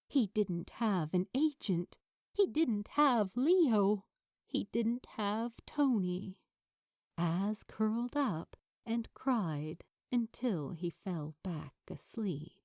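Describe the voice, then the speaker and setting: Slow, expressive woman narrating fiction. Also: mid-range voice, no room sound, studio quality. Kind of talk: scripted audiobook narration.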